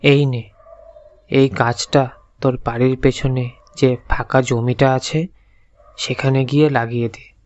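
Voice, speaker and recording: A man's voice: medium pitch, flat delivery, measured pace, pauses often, darkish tone, dry recording, muffled recording, lightly acted. A man playing a blunt, all-knowing wandering holy man in a cartoon moral tale, solemn and commanding. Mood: solemn, assured.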